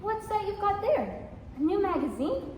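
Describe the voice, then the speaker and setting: Sweet, devoted young girl female actor, high-pitched, radio play on an echoey stage. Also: big pitch swings, normal speed, a little mellow. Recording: echoey stage from far off, noisy.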